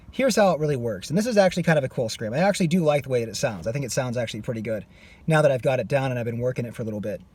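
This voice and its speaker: A man's voice: medium pitch, a little mellow, breathy edge. A man teaching a singing lesson. He is losing his voice and stops his explanation to demonstrate screams. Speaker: vocal coach.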